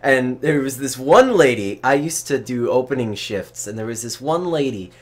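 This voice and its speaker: A man with a very lively, swinging delivery chats casually. He tells anecdotes in an animated, informal way and swears without holding back. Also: medium pitch, normal speed. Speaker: storyteller on a comedy chat show. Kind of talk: casual chat, telling work anecdotes.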